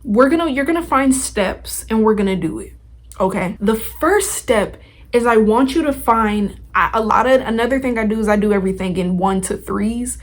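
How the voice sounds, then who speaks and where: A woman's voice: fairly high pitch, normal speed. A woman hosting a podcast, giving a casual motivational talk straight to listeners in an informal, slangy, emphatic way. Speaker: podcast host.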